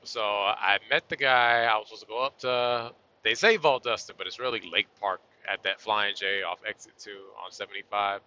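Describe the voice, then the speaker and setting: A man with a bright, forceful and fairly low voice talks his way through his shift from the cab of a moving truck, loose and chatty. He sounds slightly hoarse. The sound is dull and lo-fi, as if caught on a phone set up in the cab. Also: normal speed, hardly any pauses, a little hiss or hum. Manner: laid-back, chatty, southern-style y'all. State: losing his voice a little. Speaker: truck driver vlogger. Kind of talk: casual vlog talk to camera while driving. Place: inside a truck cab on the road. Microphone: phone or camera on a tripod.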